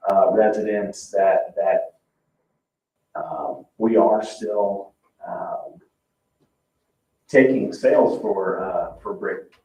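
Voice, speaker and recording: Halting man in a roomy meeting. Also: low voice, a little mellow. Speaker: commission member presenting at a public meeting. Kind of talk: informal meeting presentation and discussion. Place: indoor public meeting room. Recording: roomy, distant, dull, noisy meeting-room pickup.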